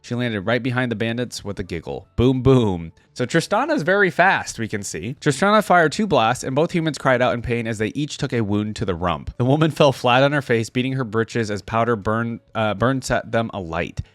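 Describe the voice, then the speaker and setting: A man's voice: medium pitch, animated, normal speed, few pauses, fairly bright tone, no echo. Casual man reacting, dry close sound. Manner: informal, chatty, talks to viewers. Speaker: YouTube reactor reading and commenting. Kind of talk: casual reaction commentary with reading aloud.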